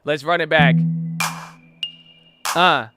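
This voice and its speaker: A man hosting a trading channel, talking in an excitable, slangy, casual way. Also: fairly high pitch, slow, loud music behind the voice. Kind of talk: casual hyped vlog talk.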